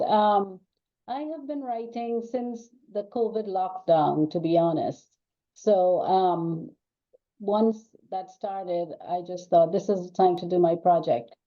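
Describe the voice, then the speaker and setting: An older woman from Trinidad and Tobago talks as an interview guest over a video call. She wanders through her thoughts in loose runs, with frequent ums and restarts. Her voice sits at an ordinary pitch for a woman, and the audio is slightly dull and lo-fi. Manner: rambling, with many ums and restarts. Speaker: guest author. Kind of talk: casual interview chat.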